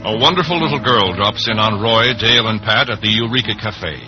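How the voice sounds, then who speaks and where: A man's voice: on the low side, a little fast, music in the background. A man giving dramatic, scripted story narration in old-time radio style. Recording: muffled vintage radio broadcast.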